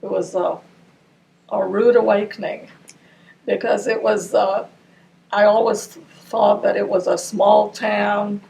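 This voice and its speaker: A woman's voice: mid-range voice, flat delivery, takes it slowly, vocal fry, darkish tone. An older woman from Ramallah whose first language is Arabic, recalling her childhood in an oral history interview. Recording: distant mic with faint noise.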